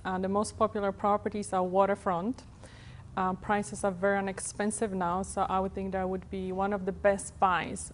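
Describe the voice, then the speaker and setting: Woman, 30s, Polish accent, native Polish speaker, mid-pitched voice, real estate broker interviewed as a guest. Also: slight background noise. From Poland. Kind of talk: interview answers. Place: TV studio.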